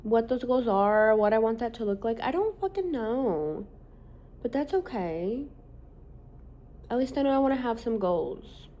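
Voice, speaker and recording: Woman, late twenties, casual vlog chat, rambling, candid, swears casually, mid-range pitch. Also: warm tone. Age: late 20s. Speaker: social media creator.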